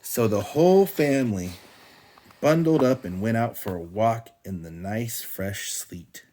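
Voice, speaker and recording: A man reads a bedtime storybook aloud in an animated style. His pitch moves widely as he narrates, like a father telling a tale to his child. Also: measured pace.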